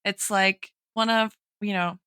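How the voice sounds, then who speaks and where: Bright, close-miked woman chatting on a podcast. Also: medium pitch, steady tone, unhurried, clear, resonant tone, dry recording. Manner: informal, wry, sweary. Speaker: podcast host. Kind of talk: casual intellectual podcast chat.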